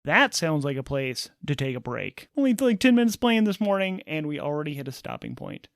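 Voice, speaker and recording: A man's voice: a little high, big pitch swings, fairly quick, gravelly, dry recording, studio quality. A man narrating a solo tabletop game casually, thinking aloud as he switches between rules and story.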